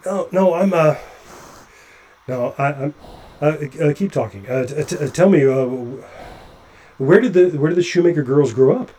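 A man's voice: fairly high pitch, dark tone, close to an everyday voice. A man playing a nervous stammering doctor. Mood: anxious. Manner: halting, stammering restarts. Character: nervous chatty male doctor climbing a ship hull. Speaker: physician on a spacewalk. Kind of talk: audio drama acting.

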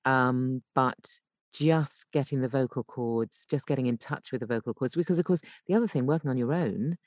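A woman's voice: rumbling low, moderate pace, darkish tone, dry recording. British woman explaining, in a dry booth. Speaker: podcast guest, voice actor and coach. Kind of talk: conversational interview answers. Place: home voice booth.

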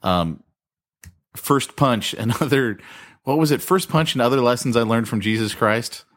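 A man co-hosting a podcast, telling stories in animated casual banter and laughing as he talks. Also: medium pitch, moderate pace. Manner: animated storyteller, laughs while talking. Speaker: podcast co-host.

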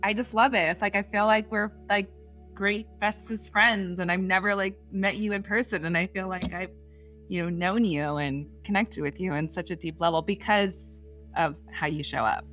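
An American woman hosting a podcast, chatting warmly and gushing with affection for her guest. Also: mid-range voice, steady tone, normal speed, soft background music. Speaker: podcast host. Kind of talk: casual interview chat.

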